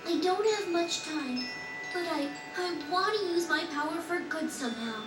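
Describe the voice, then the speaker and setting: A woman playing a gentle, earnest young girl, sad but resolute, in a video game dub. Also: very high-pitched, lively intonation, moderate pace. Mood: wistful, resolute. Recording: roomy game audio, distant, music over it.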